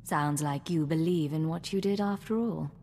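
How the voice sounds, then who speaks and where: A secret agent woman in a video game, her voice a little low. She is measured, composed and dryly witty. Long reverb and some background noise surround her. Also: mellow. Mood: amused, measured. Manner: measured, cool, dry. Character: composed, dry-witted female imperial secret agent.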